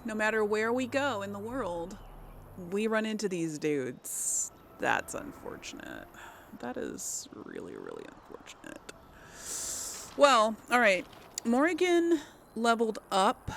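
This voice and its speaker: A woman's voice: medium pitch, gravelly, a bit bright, slight background noise. A woman giving casual let's play commentary as host of a gaming series, chatty and thinking aloud as she plays. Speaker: host of a role-play video game series.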